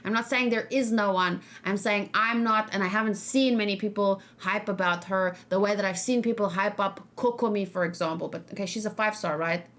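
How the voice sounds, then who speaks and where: A woman's voice: medium pitch, fairly quick, few pauses, fairly bright tone. Female livestream host, mid-range voice, conversational and emphatic, puts questions to viewers. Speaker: streamer hosting a reaction and discussion. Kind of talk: casual livestream chat, answering viewers' questions.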